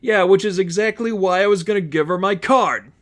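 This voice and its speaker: Casting: male reader as young male henchman, sloshed and nauseous, raised pitch, whining through heavy breaths. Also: brisk, lightly acted. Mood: exasperated. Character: drunk, queasy young male henchman, miserable and whiny.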